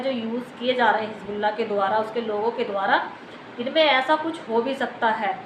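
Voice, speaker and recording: A woman with an Indian accent giving unscripted news commentary, explaining things to viewers in a wordy, repetitive way. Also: fairly high pitch. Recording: echoey room, clear background noise.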